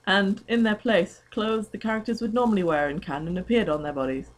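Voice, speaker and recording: A young woman reads fan fiction aloud for comic effect. Her delivery is mock-dramatic and giggly, at a middling pitch. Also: a little fast, low-quality recording. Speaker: amateur reader of fan fiction.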